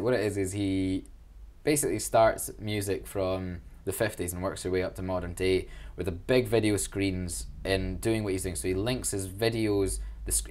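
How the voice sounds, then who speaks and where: Chatty Glaswegian young man, low voice. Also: a little mellow. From Glasgow, Scotland. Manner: enthusiastic, chatty, self-deprecating. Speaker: student union events officer. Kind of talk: casual interview answers.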